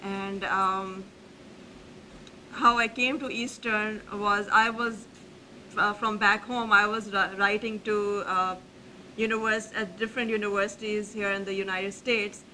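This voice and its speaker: A woman's voice: mid-range voice, level tone, pushed and bright, slight background noise, poor audio. A young woman from Pakistan, a graduate student speaking earnestly on a university panel, often restarting her sentences. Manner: earnest, halting with many restarts. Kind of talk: panel talk.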